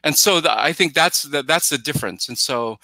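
A man's voice: on the high side, fairly quick, sharp tone, no echo. A middle-aged man chatting casually about films in a rambling, emphatic way, slipping into imagined quotes, on a headset in a video call. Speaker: podcast guest commentator. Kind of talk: casual film discussion. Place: indoors, plain room, video call.

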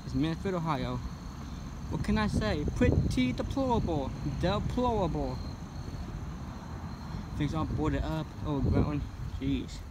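A man walking around an empty building, narrating casually into his phone. He keeps repeating himself and turns loud when something surprises him. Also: on the high side, animated, slow pace, long pauses. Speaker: urban explorer vlogger. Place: outdoors at an empty building and parking lot. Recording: loud outdoor background noise.

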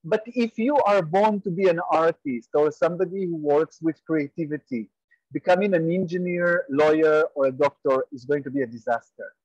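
A man's voice: fairly high pitch, normal speed, darkish tone, husky, dry recording, highs cut off. A man in his forties from Israel with an Israeli accent, a guest professor explaining earnestly and steadily on a webinar call. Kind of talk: webinar interview answer. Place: home room on a video call, virtual background. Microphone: earphone mic on a video call.

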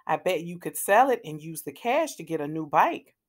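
Woman reading as a helpful, lively storybook girl. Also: sits low, moderate pace, voice pushed down. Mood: encouraging. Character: young girl, helpful practical friend.

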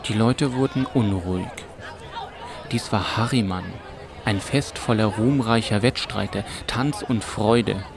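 A man's voice: fairly low pitch, slow, a crowd in the background. A man narrating a scripted fantasy audiobook as a storyteller. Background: music and ambience.

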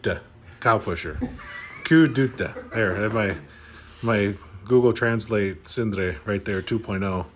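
A middle-aged man hosts a podcast from an office meeting room, speaking into a desk microphone. His voice sits a little low. He talks in an upbeat way and jokes with his guest. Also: slow pace, fairly bright tone, slight background noise. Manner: upbeat, joking, teasing. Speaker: podcast host. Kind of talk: lively on-site podcast chat. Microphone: desk podcast mic.

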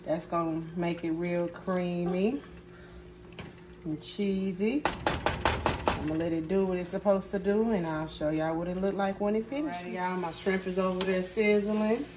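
A woman's voice: fairly low pitch, moderate pace. Woman chatting while she cooks. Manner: relaxed, chatty, addresses viewers as y'all. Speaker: home cook vlogger. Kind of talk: casual cooking narration. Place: home kitchen, indoors. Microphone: phone camera filming over the stove. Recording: echoey kitchen with cooking noise. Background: sizzling pans and clattering pots.

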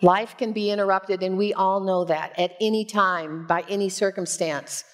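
A woman in her sixties preaching as a pastor, with measured, expressive storytelling, on a headset mic in a church. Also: mid-range voice. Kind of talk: sermon with personal storytelling. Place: church sanctuary, at a pulpit.

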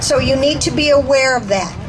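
A woman's voice: high voice, brisk. A woman from Cuba giving an earnest, urgent political speech at an outdoor rally through a handheld microphone. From born in Cuba, moved to the US as a young child. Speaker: rally speaker, retired public school teacher. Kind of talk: impassioned political stump speech. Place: outdoors under a canopy by a roadside. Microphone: handheld microphone through PA speaker. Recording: noisy outdoor PA with traffic.

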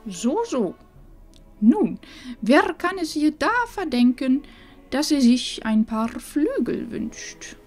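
A woman acts out an old village grandmother in a fantasy audio drama, calm and knowing. Her voice sits fairly high and moves with lively melody, taking its time, with faint music underneath. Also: heavily acted. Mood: knowing, gentle. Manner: measured, knowing.

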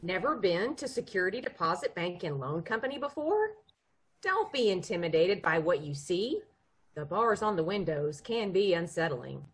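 A woman performs a reassuring spokeswoman in an old-time radio commercial. Her voice is husky and highly animated, with a folksy sales manner. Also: normal speed. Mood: reassuring, salesy. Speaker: commercial announcer. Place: lamp-lit living room at home. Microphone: video call.

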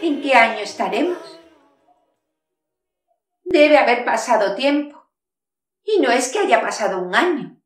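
A middle-aged actress playing a lonely, dreamy maiden walled up in a castle for centuries, declaiming slow sighing verse. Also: female voice, a little high. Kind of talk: theatrical monologue in verse. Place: studio with castle backdrop. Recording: echoey room, mic far off.

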